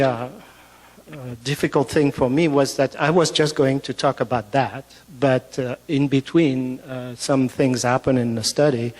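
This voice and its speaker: A man with a French accent delivers a conference talk, pausing often and restarting his sentences. His voice sits in an ordinary middle pitch. The sound carries a long reverb from a large hall. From France. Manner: hesitant, many fillers and restarts. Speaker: physician giving a conference talk. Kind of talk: conference presentation.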